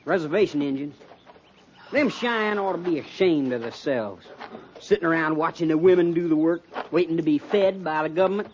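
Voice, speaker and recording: Guy playing a hotheaded frontier soldier, high voice, surly american drawl, muffled old radio western. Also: normal speed, natural voice. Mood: restless, scornful. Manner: rustic drawl, surly, complaining. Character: restless, belligerent young cavalry private spoiling for a fight. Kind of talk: 1950s radio western acting. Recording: muffled, noisy 1950s radio recording.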